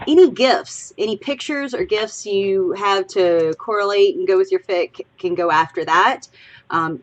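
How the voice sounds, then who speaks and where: A woman's voice: medium pitch, a bit bright, a little hiss or hum. A woman from Louisiana with a Southern accent, co-hosting a casual livestream, rambling chattily and a bit tipsy. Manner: chatty, rambling, giggly asides. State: tipsy from drinking. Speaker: podcast co-host.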